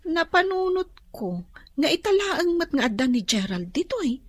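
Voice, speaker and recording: A woman's voice: extremely high pitch, sing-song, normal speed, no room sound. A woman with an Ilocano Filipino accent playing a curious, chatty young friend in a radio drama. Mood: puzzled, confiding.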